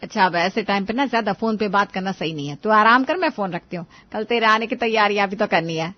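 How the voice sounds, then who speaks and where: A woman's voice: medium pitch, fast, dull audio, close to an everyday voice. A woman voicing a bossy, doting middle-aged grandmother with a commanding, exclamatory manner in a family story. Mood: reassuring. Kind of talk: Hindi family moral story dramatization.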